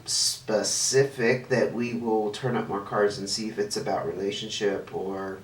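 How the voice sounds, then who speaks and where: A man hosting his own channel, giving a casual tarot reading to camera, chatty and thinking aloud while he lays out cards, a bit stuffy and sniffling. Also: medium pitch. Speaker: tarot reader, channel host. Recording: roomy, distant mic, faint noise.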